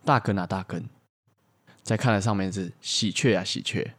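A man's voice: low-pitched, normal speed. A man co-hosting a podcast, improvising a playful story and bantering with friends.

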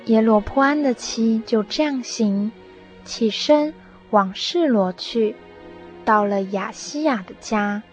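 A woman narrating a radio drama in a measured, scripted storytelling style. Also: fairly high pitch. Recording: music behind the voice.

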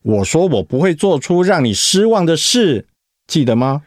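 An actor in a Mandarin radio drama playing a devoted, protective young husband who speaks in a tender, coaxing, sincere way. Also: male voice, a little high, big pitch swings, moderate pace, dry recording, studio quality. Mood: concerned, probing.

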